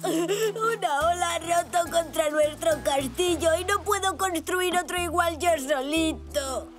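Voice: very high voice, measured pace. A performer voicing a small, pleading zebra child in a children's cartoon, with a childlike sound and music behind. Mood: concerned. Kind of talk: children's cartoon dub acting.

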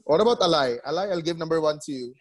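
A man's voice: on the high side, lively intonation, very fast, poor audio. Coaxing male teacher on a video call. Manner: coaxing, repeats names and words. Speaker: English teacher. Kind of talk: online class discussion.

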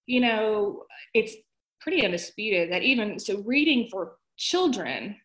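A woman's voice: mid-range voice, big pitch swings, unhurried, fairly bright tone, poor audio. Middle-aged woman chatting as interview guest. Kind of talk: casual interview chat.

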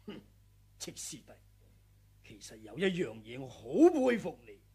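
A cruel gloating swordsman, raspy and gravelly. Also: fairly high pitch, wildly swooping pitch, a little slow, heavily acted. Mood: brooding. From Hong Kong. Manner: menacing, taunting, bursts into shouting. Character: ruthless male senior swordsman, gloating and cruel. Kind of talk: 1980s radio drama acting.

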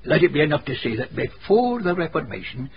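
An actor playing a venerable, learned Scottish cobbler in a radio drama, telling gossipy tales in a wordy, formal dialect. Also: male voice, on the high side, very animated, brisk, creaky. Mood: gossipy storytelling. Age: older. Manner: wordy, dialect-rich, pedantic storytelling. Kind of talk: radio drama dialogue, storytelling. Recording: muffled radio broadcast, background hiss.

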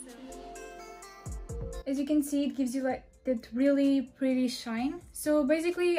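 Portuguese-accented woman in her twenties, fairly high but mellow voice, beauty vlogger talking to camera, faint music underneath. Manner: friendly, chatty, explaining step by step. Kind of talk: makeup tutorial talk to camera. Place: small bedroom indoors.

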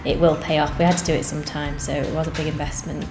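Young woman, bakery co-owner, low earnest voice, echoey cafe room with music under it. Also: little pitch movement, fast talker. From England. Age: 20s. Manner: earnest, plain-spoken with many ums. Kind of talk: interview answers. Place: cafe interior, seated on sofa.

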